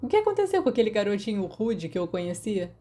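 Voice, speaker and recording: Woman voicing a cheeky, lazy teenage boy, mellow. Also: medium pitch, very animated, quick pace, natural voice. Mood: teasing, amused. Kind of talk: audiobook character dialogue.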